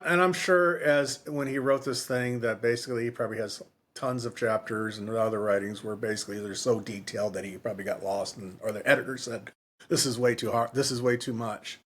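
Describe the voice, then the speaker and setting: A man's voice: mid-range voice, fairly quick, fairly bright tone. A man with a mid-range voice co-hosts a casual book podcast. He talks in a rambling, conversational way, circling back to repeat and restart his phrases. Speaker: podcast co-host.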